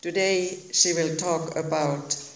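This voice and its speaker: A man's voice: fairly high pitch, fairly even intonation, slow pace, gravelly. A man giving a formal, scripted introduction as the host of a talk. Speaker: host introducing a guest speaker. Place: echoey indoor room. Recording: echoey room.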